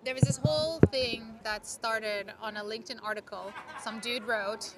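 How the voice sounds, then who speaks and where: A woman's voice: a little high, steady tone, normal speed, over loud music, low-quality recording. A woman from Turkey co-hosting a podcast, chatting casually outdoors at a city restaurant and filling her talk with "like.". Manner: chatty, lots of "like" fillers. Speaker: podcast co-host. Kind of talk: casual chat. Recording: busy street crowd noise behind. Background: city street crowd and traffic.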